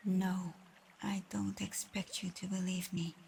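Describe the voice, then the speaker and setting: A proud yet gentle female dragon, acted by a woman in an ASMR roleplay speaks slowly and very evenly. The delivery is soft and breathy, often half-whispered and weary, over some background noise. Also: lowish voice, flat delivery. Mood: weary, hopeful. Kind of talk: ASMR roleplay, scripted one-sided dialogue.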